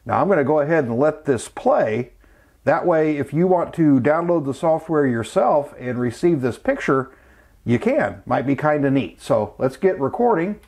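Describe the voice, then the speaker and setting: A man's voice: fairly high pitch, expressive, moderate pace, creaky, darkish tone. A middle-aged man, narrating a casual hands-on tutorial in a plain-spoken, step-by-step way. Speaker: host of a vintage electronics channel, amateur radio hobbyist.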